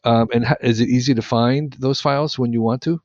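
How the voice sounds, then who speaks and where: A man co-hosting a podcast, talking casually in halting phrases and repeating words as he goes. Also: medium pitch, fairly even intonation, darkish tone, no echo, lo-fi sound. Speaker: podcast co-host. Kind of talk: casual interview chat.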